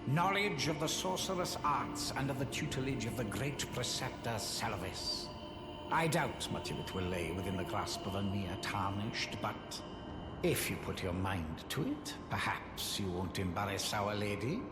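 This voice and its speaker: A man's voice: on the low side, expressive, moderate pace. A man acting as a haughty, condescending sorcerer in a fantasy video game, with a pompous, theatrical drawl. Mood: smug condescension. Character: haughty, condescending male sorcerer, sly and scornful puppet-maker. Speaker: preceptor of sorcery. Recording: echoey reverberant hall with game music.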